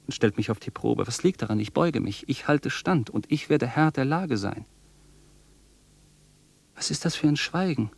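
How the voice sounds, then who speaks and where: Imperious, feverish master, dry close sound. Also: male voice, mid-range voice, gravelly, a little mellow, breathy edge, dry recording. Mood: bitter, brooding. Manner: declamatory, insistent, repeating commands. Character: obsessive rich master, feverish, defiant, imperious arsonist. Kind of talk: German literary radio drama acting.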